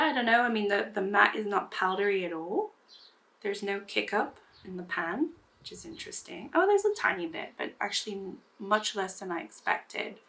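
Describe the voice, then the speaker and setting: Woman, hoarse and gravelly from recent voice loss, casual rambling beauty vlogger. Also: mid-range voice, a bit bright. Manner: rambling, self-deprecating, many fillers and restarts. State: recovering from lost voice, hoarse. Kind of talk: casual get-ready-with-me chat.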